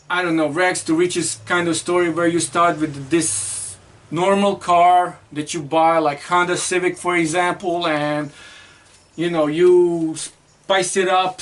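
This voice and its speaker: High-voiced rambling man, off-mic. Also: recorded from a distance. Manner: rambling, many fillers and restarts. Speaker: co-host. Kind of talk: casual movie review chat.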